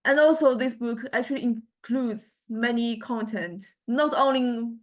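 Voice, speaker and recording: A woman gives an informal university lecture in a fairly high voice. Her delivery is halting and hesitant, and she often restarts words partway through as she explains things to her class. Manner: halting, hesitant, with frequent stammered word starts.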